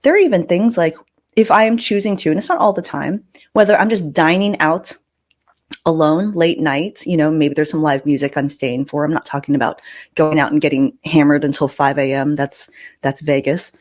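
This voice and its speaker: A woman's voice: low-pitched, normal speed. A woman hosting a podcast, telling personal stories casually with emphatic delivery and joking asides. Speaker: podcast host. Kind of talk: casual podcast chat, anecdotal storytelling.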